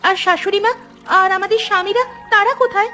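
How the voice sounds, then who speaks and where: A timid young village sister-in-law from a cartoon, put on by a woman. Her voice is very high and she talks in an anxious, questioning way, fretting over what comes next. There is faint music underneath. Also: fairly even intonation, fast, bright, forceful tone. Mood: alarmed. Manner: fretful, questioning. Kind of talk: cartoon voice acting.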